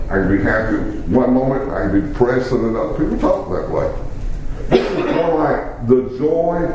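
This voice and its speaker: A middle-aged man preaching a sermon, slow and emphatic, with dramatic pauses. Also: mid-range voice, dark tone, slight background noise. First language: English. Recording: very echoey room, faint background ambience.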